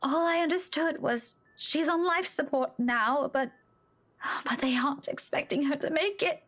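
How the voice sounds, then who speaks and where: High-voiced, distraught young woman. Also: a bit bright. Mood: grieving, apologetic. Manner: halting, emotional, faltering. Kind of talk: visual novel voice acting, dramatic.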